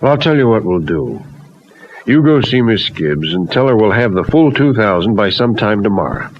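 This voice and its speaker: An actor playing a calm, determined mentor and business partner, plain-spoken and paternal in an old radio soap. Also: male voice, fairly low pitch, moderate pace, lightly acted. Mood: resolute. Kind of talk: old-time radio soap opera acting. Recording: dull, noisy old radio recording.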